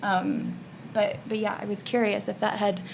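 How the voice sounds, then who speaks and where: Woman panel host, medium pitch, very creaky, earnest and supportive, conversational, faint background noise. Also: completely flat delivery, normal speed. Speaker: podcast host moderating a panel. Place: live panel discussion at a festival.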